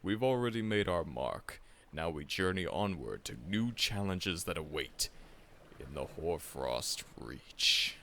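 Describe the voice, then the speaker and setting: A man's voice: low-pitched, lively intonation, takes it slowly, sharp tone, slight background noise, exaggerated voice. A man voicing a seasoned, friendly veteran monster hunter in an audio roleplay, chuckling often and teasing the listener.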